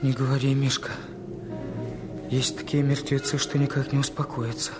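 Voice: mid-range voice, little pitch movement, normal speed, music in the background, lightly acted. An actor playing a timid, superstitious boy in a radio play, speaking in a fearful, spooky manner.

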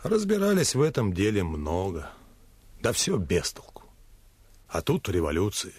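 An actor playing a burly sailor commissar in a Russian radio play, telling a grave tale in measured short phrases with a husky voice. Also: male voice, fairly low pitch, huge pitch swings, a little mellow, dry recording, full character voice. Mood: grim. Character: burly sailor commissar recounting a battleship disaster.

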